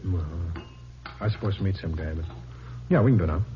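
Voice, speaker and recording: A man's voice: sits low, lively intonation, fairly quick. An actor playing a sleazy, pushy man peddling dirty books in an old radio drama, wheedling and salesy. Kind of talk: 1950s radio drama acting. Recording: dull old radio recording with hiss.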